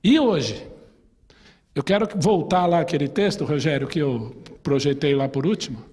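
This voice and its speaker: A man's voice: a little high, normal speed, a little mellow, husky. A man with a Brazilian Portuguese accent, a pastor teaching a Sunday school class in a chatty way, putting questions to the class and telling personal stories. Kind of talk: conversational Bible class teaching. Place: church hall. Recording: long reverb in a church hall.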